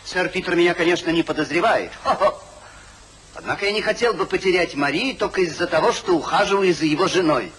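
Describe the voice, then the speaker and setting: An actor playing a calculating, disdainful gentleman hypocrite in a 1950s radio play. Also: sits high, fairly quick. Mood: scheming. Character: smooth hypocritical gentleman schemer, calculating and stingy. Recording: faintly noisy 1950s radio recording.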